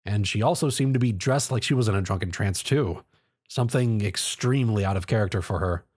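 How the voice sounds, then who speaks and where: A man's voice: fairly low pitch, brisk, a little mellow, slightly rough. A man narrating a scripted story as a dramatic reader. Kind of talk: scripted narration, dramatic fan fiction reading.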